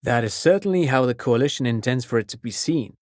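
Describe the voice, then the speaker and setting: An actor playing a chatty, courteous amateur academic in a video game, explaining things precisely like an eager enthusiast. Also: male voice, medium pitch, lively intonation, fast talker, a little mellow, no echo, studio quality, natural voice. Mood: fascinated, explanatory. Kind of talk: game character dialogue.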